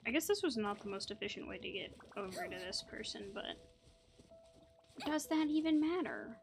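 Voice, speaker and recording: A woman's voice: on the high side, lively intonation, moderate pace, creaky, background noise. A woman giving casual, slangy reaction commentary on a video game, playfully talking back to it. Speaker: gaming video creator. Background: video game music.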